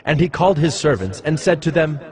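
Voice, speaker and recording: A man narrating scripture as a scripted story in a solemn, measured manner. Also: on the high side, big pitch swings, a little mellow. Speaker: Bible narrator.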